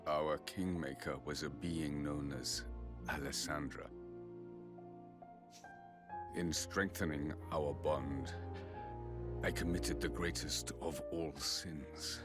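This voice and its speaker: A very deep, solemn fallen king. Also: steady tone, over music. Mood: mournful, confessional. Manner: solemn, slow, theatrical, breathy near-whispers. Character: fallen former king, grave, bitter, sorrowful villain. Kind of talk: video game cutscene acting.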